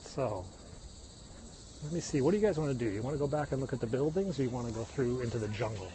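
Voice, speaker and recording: A man's voice: medium pitch, fast talker, noisy background. Midwestern American man vlogging outdoors among cicadas. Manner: chatty, tour-guide pointing out sights, answers viewer comments. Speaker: live-streaming vlogger. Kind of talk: casual walking livestream chat. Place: outdoors on a hillside trail. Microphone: phone held while walking. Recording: phone recording outdoors with loud cicadas. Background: insects and cicadas.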